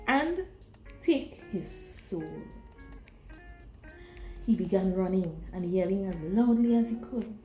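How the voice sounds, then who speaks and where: A woman with a Grenadian accent, a visiting author who reads a story aloud to a class and then gently answers the children's questions. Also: a little high, roomy sound. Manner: gentle, encouraging, dramatic when reading.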